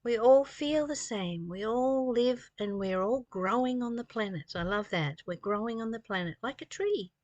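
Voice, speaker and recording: A woman's voice: on the high side, moderate pace, few pauses, a little mellow, no echo, muffled recording. A woman in her sixties giving an earnest, preaching spiritual talk, reading passages and explaining them with rhetorical questions and repetition. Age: 60s. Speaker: spiritual teacher reading and commenting on a study text.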